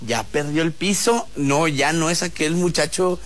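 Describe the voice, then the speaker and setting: A man's voice: fairly high pitch, fairly even intonation, a little slow, fairly bright tone. A man with a Mexican accent hosting a radio show, trading playful, teasing jokes and laughing often. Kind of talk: joking talk-radio banter.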